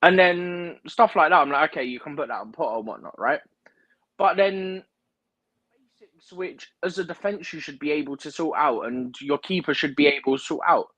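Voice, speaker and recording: A man's voice: on the high side, expressive, normal speed, a bit bright, no room sound. A man on a fan livestream giving opinionated, rambling football analysis, often checking in with "right?". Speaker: guest pundit on a fan livestream. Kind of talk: casual football chat. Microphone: remote livestream mic, drifting in and out.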